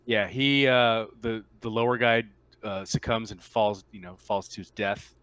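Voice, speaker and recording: A man running a tabletop roleplaying game, describing the action in a halting, matter-of-fact way. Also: on the low side, steady tone, fairly bright tone, smooth, no echo. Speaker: game master.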